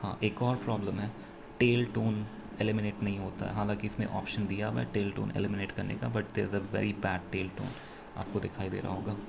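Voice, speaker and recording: Indian guy doing a casual gadget review, gravelly voice, kinda flat delivery, throws in english bits, noisy audio. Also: on the low side, normal speed. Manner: explanatory, mixes English phrases into speech. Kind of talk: casual, unscripted product review.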